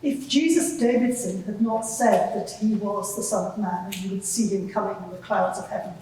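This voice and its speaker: A woman's voice: medium pitch, normal speed, warm tone, slight background noise, natural voice. A woman playing a composed, probing lawyer who questions a witness formally and insistently in a live courtroom drama. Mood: pressing. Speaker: examining lawyer in a dramatised inquiry. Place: large reverberant courtroom, live event. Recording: very echoey hall, distant microphone.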